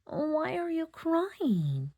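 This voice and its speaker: A woman's voice: high-pitched, huge pitch swings, very slow, dark tone, voice pushed up, exaggerated voice. A woman voicing a wise old male monarch butterfly in a children's storybook, kindly, thoughtful and instructive. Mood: gentle concern.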